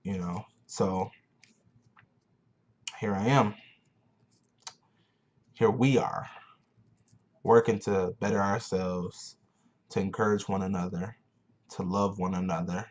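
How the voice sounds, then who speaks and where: Young man in his twenties, earnest and searching, lowish voice, muffled lo-fi sound. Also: fairly even intonation, slow, long pauses. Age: 20s. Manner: earnest, searching, repeats phrases for emphasis. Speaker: vlogger. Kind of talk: motivational talk to camera.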